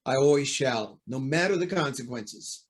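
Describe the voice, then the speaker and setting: A man's voice: mid-range voice, lively intonation, normal speed, breathy edge. A man acting an earnest, anxious, honest judge in an old-time radio drama. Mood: resolute.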